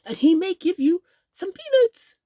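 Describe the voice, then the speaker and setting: A woman voicing a kindly old gentleman rabbit who speaks in a gentle, polite, measured way in a storybook reading. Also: very high voice, sing-song, no room sound, highs cut off, voice pushed up, exaggerated voice.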